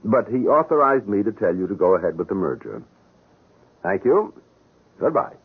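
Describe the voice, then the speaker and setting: A cautious, anxious accomplice in a 1970s radio thriller. His lowish voice is very husky and rises and falls as he reasons. The broadcast sound is thin and narrow. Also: very animated, moderate pace, full character voice. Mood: smooth. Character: anxious, cautious male accomplice and smooth-lying lover. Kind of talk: 1970s radio drama acting. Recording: muffled, narrow-band old radio broadcast.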